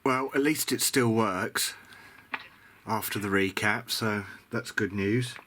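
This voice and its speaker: A man's voice: on the low side, slow pace, sharp tone. Man musing aloud over noisy recording. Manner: hesitant, musing, thinking aloud. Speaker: hobbyist radio repairer presenting a restoration. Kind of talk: casual workbench commentary.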